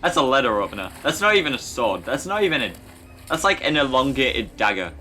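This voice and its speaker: A man's voice: medium pitch, sing-song, brisk, bright, forceful tone, faint music behind. A man giving casual, joking commentary on a film in a mock-pedantic, analytical way. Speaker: fan dub commentator.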